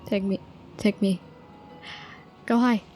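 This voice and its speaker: A woman's voice: mid-range voice, fairly even intonation, slow, mellow, soft background music. A woman giving casual playthrough commentary on a video game, a bit tired and self-deprecating. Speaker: gaming video creator.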